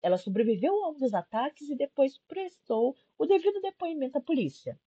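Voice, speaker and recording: A woman's voice: sits high, expressive, brisk. A young woman with a Brazilian accent giving casual, sarcastic video-essay commentary, informal and prone to swearing, on a slightly dull lo-fi microphone. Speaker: YouTube commentary host.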